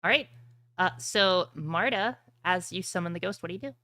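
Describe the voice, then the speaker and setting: A woman's voice: fairly low pitch, normal speed, bright, forceful tone, dry recording, studio quality. A woman acting as game master, explaining rules and prompting players in a tabletop roleplaying game.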